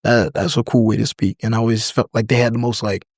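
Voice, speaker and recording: A man's voice: lowish voice, quick pace, husky, no echo. Young american guy chatting loose on a podcast, like and you know everywhere, dry mic. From United States. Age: young adult. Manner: relaxed, filler-heavy, drops into other languages. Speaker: podcast guest. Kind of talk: casual interview chat.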